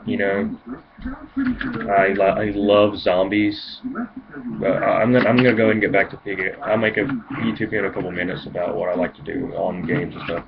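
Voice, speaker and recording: A man's voice: low-pitched, steady tone, crowd noise. A seventeen-year-old male with a speech impediment that blurs his words, giving a hesitant, rambling amateur toy review in a casual way. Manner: hesitant, self-conscious, halting phrases. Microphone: home video camera. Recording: muffled lo-fi camera mic, clear noise.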